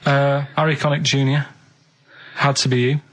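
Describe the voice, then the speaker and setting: A man's voice: medium pitch, flat delivery, moderate pace, a bit bright. An English man chatting casually on a radio show as its quiz man, blunt and matter-of-fact with hesitant phrasing. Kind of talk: casual unscripted radio chat.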